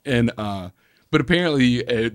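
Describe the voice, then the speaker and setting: A man's voice: medium pitch, expressive, moderate pace, no room sound. Man, Southern American accent, casual storyteller, mid-range voice, dry sound, quotes dialogue as he goes. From Alabama, American South. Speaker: touring band musician being interviewed.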